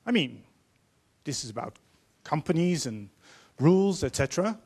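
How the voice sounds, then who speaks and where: A man in his fifties with a Dutch accent, giving an earnest, measured opening speech as panel moderator in a large auditorium, holding a handheld mic. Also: on the high side, sing-song, darkish tone, husky. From Netherlands. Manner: earnest, measured, quoting speakers.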